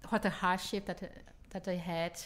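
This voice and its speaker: A woman from northern Vietnam, speaking English with a Vietnamese accent and answering interview questions in a thoughtful, halting way. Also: lowish voice, fairly even intonation, a bit bright. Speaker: guest, public health researcher.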